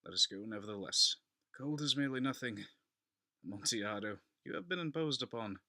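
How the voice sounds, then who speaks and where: A man's voice: medium pitch, lively intonation, brisk, sharp tone, dry recording, studio quality, voice pushed up. A man playing a drunken, boastful Italian wine connoisseur in a dramatic audiobook reading, exclamatory and dismissive.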